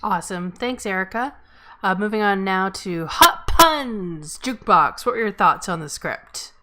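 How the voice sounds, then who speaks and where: A woman's voice: medium pitch, expressive, a little slow, pushed and bright. A woman casually hosting a feedback session and handing over between speakers. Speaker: host moderating a script feedback session.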